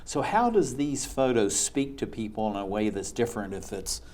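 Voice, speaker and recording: A man's voice: lowish voice, sing-song, moderate pace, darkish tone. A man hosting an interview show, asking long rambling and enthusiastic questions. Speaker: talk show host.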